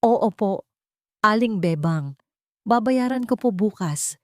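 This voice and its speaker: A woman's voice: medium pitch, animated, slow, dry recording, clean studio sound, pitched above the performer's own voice. A woman voicing a humble, kind young single mother in an audio story, polite and meek. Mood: meek, ashamed.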